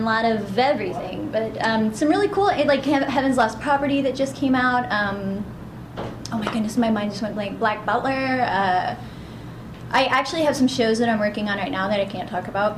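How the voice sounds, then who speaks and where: A woman with a young-sounding voice and a bubbly, upbeat manner, chatting as a voice actress in an interview. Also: fairly high pitch. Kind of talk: casual interview chat. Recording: echoey room with background noise.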